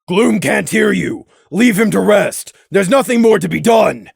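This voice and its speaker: A woman voicing a stern male pegasus pony who shouts firm, urgent warnings. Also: mid-range voice, lively intonation, unhurried, vocal fry, husky, no room sound, clean studio sound, voice pushed up, heavily acted.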